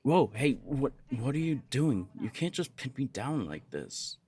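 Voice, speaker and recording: A man playing a lovesick, earnest young punk roommate in an intimate ASMR romance roleplay, speaking in a halting way. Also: medium pitch, sing-song, a little mellow, a little hiss or hum. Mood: flustered, amazed.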